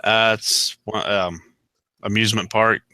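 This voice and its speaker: Hesitant man with a bright, tense voice. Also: fairly low pitch, fairly even intonation, unhurried, no room sound. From Ohio. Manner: hesitant, many ums and uhs. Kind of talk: casual group chat.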